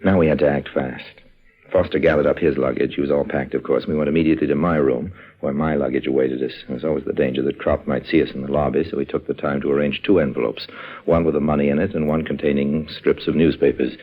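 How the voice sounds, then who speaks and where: An actor playing a smooth, roguish con artist in an old radio drama, wry and urbane with a show of reluctance. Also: male voice, fairly even intonation, fast talker, full character voice. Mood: wry, satisfied. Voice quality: deep voice. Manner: wry, urbane, hesitating and hedging when cornered. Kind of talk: 1950s radio drama acting and narration. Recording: dull, muffled old radio recording.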